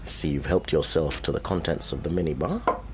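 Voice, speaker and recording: A man playing an anguished middle-aged father searching for his son, earnest and halting when upset, in a naturalistic radio drama. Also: very deep voice. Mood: exasperated. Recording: noisy ambient radio drama soundscape.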